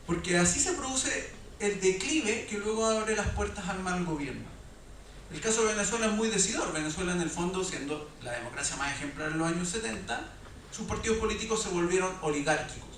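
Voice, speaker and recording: A man speaks as a panelist, building his argument in a measured, reflective way. His voice sits fairly high for a man. He sounds far from the microphone in a very echoey lecture hall with faint noise behind. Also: a bit bright. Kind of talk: panel discussion talk.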